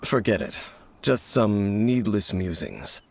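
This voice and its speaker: Low gravelly mystery swordsman guy, slow and grave, music under it. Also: expressive, exaggerated voice. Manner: grave, measured, cryptic. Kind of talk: video game cutscene voice acting.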